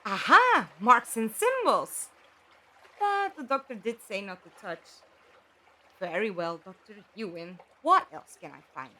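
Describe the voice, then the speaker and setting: A woman's voice: mid-range voice, very animated, measured pace, bright, forceful tone, heavily acted, poor audio. A woman playing a curious, playful explorer chatting inquisitively to herself in an audio drama. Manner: chatty, inquisitive self-talk.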